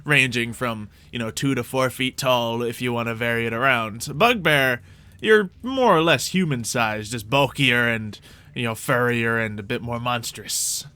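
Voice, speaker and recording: A man's voice: mid-range voice, moderate pace, sharp tone, clean tone. A man running a tabletop role-playing game, casually explaining rules and characters to the players. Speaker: game master.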